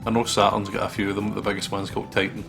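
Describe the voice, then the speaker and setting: A man's voice: lowish voice, monotone, racing, creaky. A man co-hosting a gaming video in casual, joking banter and reading a visual novel aloud. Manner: joking, teasing. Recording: music playing behind the voice. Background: video game music.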